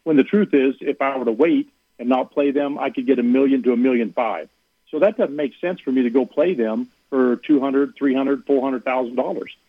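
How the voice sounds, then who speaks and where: A man's voice: medium pitch, brisk, no echo. A man, an athletic director, talking earnestly on a radio sports show, rambling with many fillers and restarts. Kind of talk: radio interview on a phone line. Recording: tinny phone line, dry.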